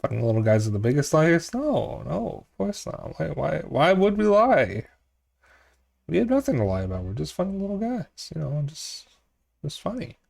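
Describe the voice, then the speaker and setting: A man's voice: on the high side, very animated, moderate pace, gravelly, a little mellow. A man with a somewhat nasal voice, casually commentating a game stream and bantering jokingly with chat in playful mock denials.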